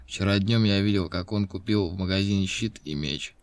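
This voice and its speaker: Nosy old retiree griping to cops, strained, lowish voice, dry audio. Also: male voice, normal speed, dry recording. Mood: suspicious. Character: suspicious old male pensioner writing to police. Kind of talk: scripted language-lesson recording.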